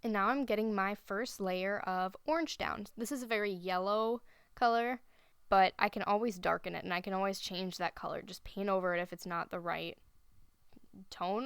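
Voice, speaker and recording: A woman giving a relaxed voice-over, explaining her painting steps as she thinks aloud. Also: mid-range voice, moderate pace, smooth, no room sound. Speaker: art instructor. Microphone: voice-over recorded separately.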